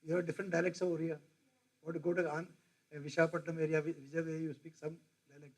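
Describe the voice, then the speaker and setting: Slightly husky older Indian professor lecturing. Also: male voice, fairly high pitch, flat delivery, quick pace. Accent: Indian English. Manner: digressive, asks the audience questions. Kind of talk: lecture to faculty.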